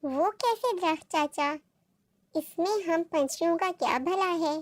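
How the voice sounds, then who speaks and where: A woman's voice: extremely high pitch, a little slow, dry recording, clean studio sound. A woman voicing a young, innocent little female bird in a cartoon, polite and childlike in manner. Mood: curious. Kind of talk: Hindi-Urdu cartoon dialogue.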